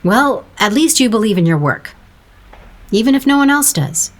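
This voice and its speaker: A woman's voice: on the high side, very animated, normal speed. An actress playing a blunt, wry woman friend in an audio drama, dryly sarcastic and coaxing. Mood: wry, needling.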